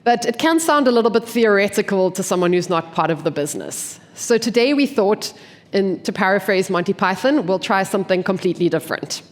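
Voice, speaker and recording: A woman from an investment team giving a conference talk. She sounds blocked up from a cold and explains clearly, often posing questions and then answering them. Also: medium pitch, lively intonation, brisk. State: congested from a cold. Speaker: investment team member presenting at a forum. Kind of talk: conference presentation. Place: conference venue. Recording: long hall reverb.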